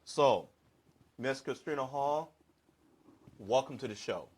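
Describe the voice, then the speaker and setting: A man hosting a talk show as a hypnotherapist, speaking earnestly and haltingly with frequent fillers and restarts. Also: mid-range voice, expressive, darkish tone, slightly rough. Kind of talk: slow, earnest monologue introducing a guest.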